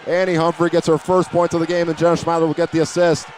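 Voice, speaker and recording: A man calling live high school basketball play-by-play as a broadcaster from inside a gym. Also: monotone, fast talker, darkish tone, a faint crowd. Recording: faint gym noise behind the voice.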